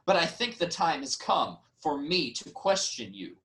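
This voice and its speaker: A man playing a wronged, reasoning nobleman in a formal, measured reading of ancient Greek tragedy. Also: fairly high pitch, lively intonation, sharp tone. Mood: reasoning, defensive. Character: wronged, reasoning nobleman, brother-in-law of the king.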